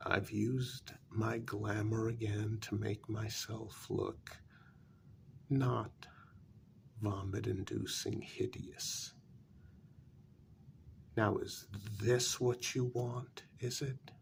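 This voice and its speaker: A man playing a jaded, irritable vampire in a soft-spoken ASMR role-play, with weary sarcasm and a gravelly, half-whispered voice. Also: on the low side, little pitch movement, a little hiss or hum, heavily acted. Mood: bitter, sardonic. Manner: slow, deliberate, weary sarcasm. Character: jaded, irritable male vampire hiding a hideous face.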